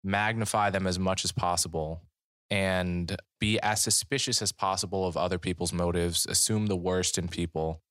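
A man hosting an interview, asking long, deliberate questions at a measured pace. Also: deep voice, fairly even intonation, a bit bright, dry recording, studio quality. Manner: measured, deliberate, reads aloud slowly. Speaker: podcast host.

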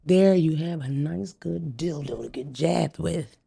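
A woman's voice: low-pitched, unhurried, vocal fry, mellow, husky, no room sound, clean studio sound. A young adult woman, a comedian, telling brash, profane stories in casual chat. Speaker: comedian guest.

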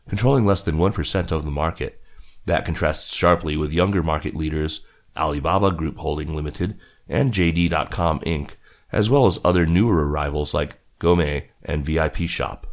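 A man reading scripted business news as a podcast host. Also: low voice.

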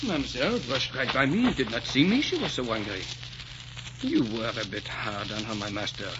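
A man's voice: medium pitch, expressive, a little fast, lightly acted. A man acting a fat, loyal, meddling servant in a 1940s radio melodrama, droll and deferential yet cheeky. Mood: meek, reproachful. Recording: dull, hissy 1940s radio recording.

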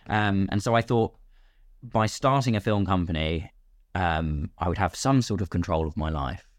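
A man's voice: sits low, no echo, studio quality. A British man talking casually as a podcast guest, chatty and self-deprecating about himself. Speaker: guest, actor and filmmaker.

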